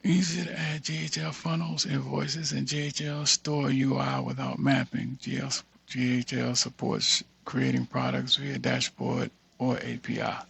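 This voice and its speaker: An American man casually thinking aloud through a screen-share software tutorial, reading bits of text and muttering asides to himself. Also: mid-range voice, little pitch movement, a little slow, gravelly, hardly any pauses, slightly rough.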